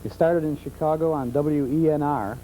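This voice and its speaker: A man's voice: fairly high pitch, steady tone, moderate pace, mellow, a little hiss or hum, low-quality recording. An American man speaking as a guest expert on a talk show, telling anecdotes with enthusiasm. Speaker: guest expert on old-time radio. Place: television studio.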